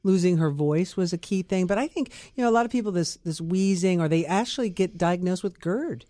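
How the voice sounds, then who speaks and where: A woman, a guest doctor on a radio show, explaining clinical topics in a measured way. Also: fairly low pitch, warm tone, no room sound. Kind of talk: radio interview.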